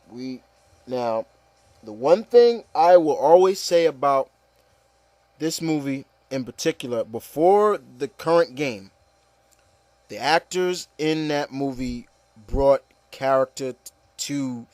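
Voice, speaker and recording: A man's voice: mid-range voice, darkish tone, dry recording. A man giving casual, rambling opinion commentary, repeating phrases and addressing listeners emphatically. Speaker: video commentator.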